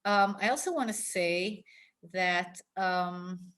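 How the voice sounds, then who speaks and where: An older woman from Israel giving an informal, personal lesson, speaking reflectively and pausing often mid-phrase. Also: fairly low pitch, fairly bright tone. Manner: reflective, halting delivery with pauses mid-phrase. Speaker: teacher, scholar.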